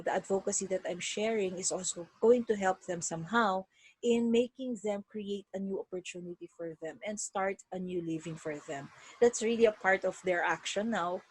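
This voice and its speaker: Filipino woman with a husky voice. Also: mid-range voice, dry recording, poor audio. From Philippines. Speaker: interview guest, virtual assistant. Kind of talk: conversational interview answers. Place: video call from a laptop.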